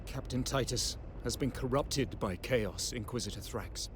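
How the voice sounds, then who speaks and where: An actor playing a rigid, zealous space marine in a video game, stern and accusing. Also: male voice, medium pitch, a little slow, background noise, close to an everyday voice. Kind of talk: video game cutscene acting.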